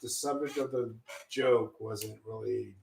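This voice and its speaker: A man's voice: on the low side, steady tone, measured pace, creaky, darkish tone, echoey room. A man co-hosting a casual radio show, rambling and hesitant as he asks questions. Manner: rambling, hesitant, laughs along. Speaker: radio co-host. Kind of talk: casual chat, interviewing a guest.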